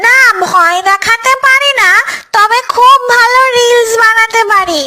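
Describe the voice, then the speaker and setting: Super high squeaky cartoon ghost girl, coy n sulky bride, noisy clicky audio. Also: a little slow. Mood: playful boasting. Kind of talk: comic cartoon voice acting.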